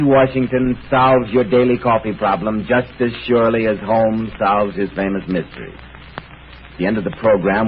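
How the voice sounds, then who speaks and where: A man speaking as a radio announcer and host in 1930s sponsor-chat style. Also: mid-range voice. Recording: muffled noisy 1930s radio recording.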